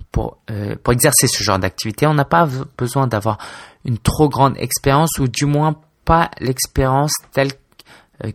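A man's voice: mid-range voice, creaky, dry recording, clean studio sound. A man in his twenties from France, a blogger talking casually and informally to his listener, hesitant, with many fillers and restarts. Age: 20s. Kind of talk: casual solo talk to camera.

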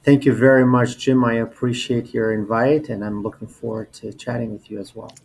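A man in his forties from Albania, a native Albanian speaker, telling his personal story as an interview guest in a halting, repetitive way. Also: medium pitch, flat delivery, a little mellow. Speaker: interview guest, corporate lawyer. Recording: noticeable background noise.

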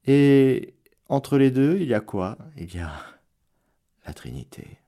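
A male priest giving a radio sermon, preacherly, rising to loud exclamations and dropping to hushed prayer. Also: medium pitch, sing-song. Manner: slow, deliberate delivery. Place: radio studio.

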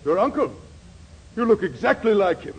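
A man's voice: high voice, sing-song, moderate pace, slightly rough, full character voice. An actor playing a pompous, vain male thespian in a 1940s radio comedy, with haughty, formal diction. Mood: indignant. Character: pompous, vain stage actor hungry for stardom. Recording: noisy vintage 1940s radio recording.

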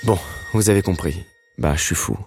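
A man, narrating his own story from a script in a wry, slangy way with dramatic pauses. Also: low-pitched, gravelly, loud music behind the voice. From Paris, France. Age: 30s. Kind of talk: scripted first-person narration.

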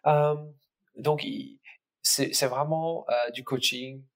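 A man's voice: mid-range voice, steady tone, takes it slowly. A man who grew up in Ireland, a native English speaker speaking with a slight Irish accent, answering interview questions hesitantly with many fillers as a startup cofounder.

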